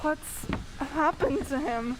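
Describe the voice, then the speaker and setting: Woman doing scared villager, high shaky halting voice, radio play, little hiss. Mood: shaken fear. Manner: halting, shaken. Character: frightened, stammering villager named Harvey. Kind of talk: old-time radio drama acting. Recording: faint background noise.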